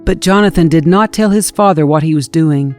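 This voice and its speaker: A woman's voice: deep voice, a little fast, a little mellow, soft background music. A woman reading scripture as a scripted audiobook narrator, in a storytelling manner. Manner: storytelling, dramatizes quoted speech.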